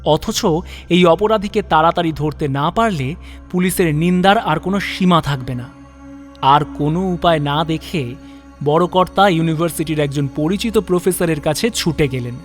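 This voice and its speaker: Reverberant male storyteller, slightly high voice. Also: normal speed, soft background music. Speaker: story narrator. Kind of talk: dramatic scripted narration. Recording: long reverb on voice.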